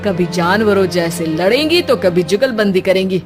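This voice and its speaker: A woman's voice: medium pitch, expressive, moderate pace, loud music behind the voice, natural voice. Woman voicing an exasperated young cartoon husband. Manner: teasing, weary scolding. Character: exasperated young married son in a family cartoon.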